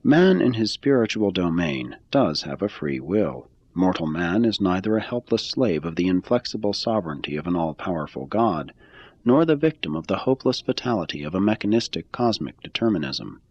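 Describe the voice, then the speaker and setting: A man reading a religious text aloud in a measured, even-paced scripted style. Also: low voice, breathy edge, no echo, muffled recording. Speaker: reader.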